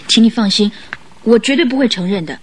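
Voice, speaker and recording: A woman's voice: on the high side, a little fast, slight background noise, lightly acted. A woman playing an obedient, resolute young lady client in a Mandarin radio drama. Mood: compliant, resolute.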